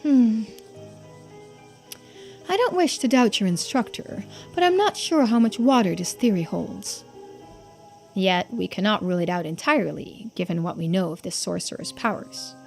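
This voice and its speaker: Lady doing a calm formal investigator type in a video game, polite but probing, measured talk. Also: medium pitch, gravelly, faint music behind. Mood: thoughtful.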